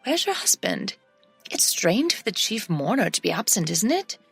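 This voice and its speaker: A woman's voice: medium pitch, big pitch swings, normal speed, creaky, a bit bright, husky, no echo, faint music behind, full character voice. A woman voicing a family relative who questions a missing husband, in a narrated story. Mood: puzzled. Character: concerned older family relative.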